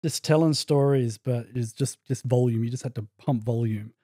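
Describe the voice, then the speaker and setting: A man's voice: medium pitch, normal speed, dark tone, no echo, studio quality. An Australian man, a podcast guest, telling his story in a reflective, searching way in casual conversation.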